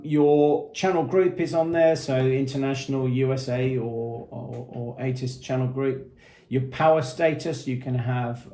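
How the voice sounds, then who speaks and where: A man's voice: medium pitch, mellow. Man demonstrating a product in an echoey room. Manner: matter-of-fact, methodical, explanatory. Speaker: product presenter.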